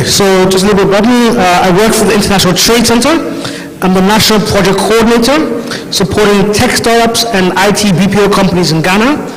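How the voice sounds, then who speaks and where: A man with a foreign accent speaks from a conference stage, his fairly high voice carrying a long reverb through a large hall. He talks in a loose, chatty way and often corrects himself mid-sentence. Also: slight background noise. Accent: foreign, European-tinged. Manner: casual, chatty, self-correcting. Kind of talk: conference presentation.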